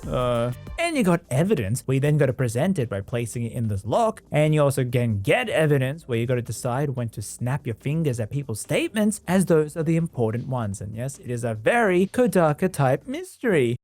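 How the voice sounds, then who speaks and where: A man narrates a video game review with lively, swooping intonation. His delivery is casual and jokey, dropping sarcastic asides as he goes, with light music playing underneath. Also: mid-range voice, a little fast, hardly any pauses. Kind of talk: casual humorous scripted review voice-over. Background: background music.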